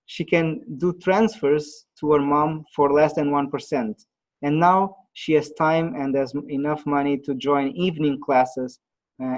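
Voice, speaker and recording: A man presenting an online webinar on pitching, pausing often with fillers and restarts, heard over a video call. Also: darkish tone, poor audio. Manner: halting, many fillers and restarts.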